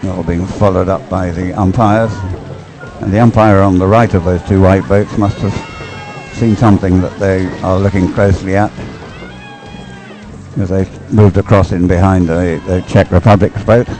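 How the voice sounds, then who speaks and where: A man giving unscripted live race commentary, explaining as he goes in a hesitant, halting way and repeating words. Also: sits low, steady tone, music in the background. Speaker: sports commentator.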